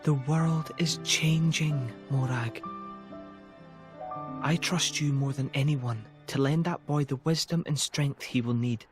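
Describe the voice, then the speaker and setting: A gracious young male emperor voiced by a man for a game cutscene, thoughtful and gently authoritative. His formal, measured voice sits in the middle range, over clear music. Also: medium pitch, steady tone, close to an everyday voice. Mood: wistful, sincere. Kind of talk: dramatic video game voice acting.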